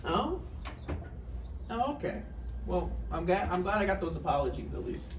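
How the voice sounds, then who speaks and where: A man's voice: sits high, very animated, moderate pace. A man in a play table read, playing a neurotic, needy failed writer arguing with an ex, hedging conversationally. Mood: needy, awkward. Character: Roger, neurotic needy male failed writer, argumentative ex-boyfriend. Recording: echoey room, distant mic, faint noise.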